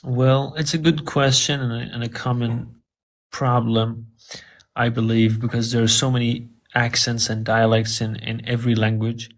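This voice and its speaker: A man's voice: mid-range voice, little pitch movement, normal speed. A man whose first language is Farsi, answering interview questions in English in a hesitant, conversational way with frequent fillers and repeated words.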